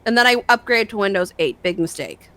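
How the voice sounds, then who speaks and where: A young woman chatting casually, telling stories in a rambling, sweary, excitable way and acting out the dialogue. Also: medium pitch, a little fast. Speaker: online show host. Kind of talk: casual chat, animated storytelling.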